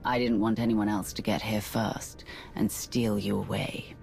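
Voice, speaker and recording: A gravelly, curt Sith warrior. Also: female voice, very deep voice, flat delivery, unhurried, a little mellow, slight background noise, full character voice. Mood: cool. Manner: dry, impatient. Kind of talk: video game voice acting.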